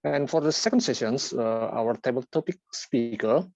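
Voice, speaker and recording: A man reading out a filler-word tally at a club meeting, in a list-like, halting way with frequent "uh". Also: mid-range voice, animated, creaky, a little mellow, dry recording. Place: online video meeting. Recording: dull lo-fi call audio.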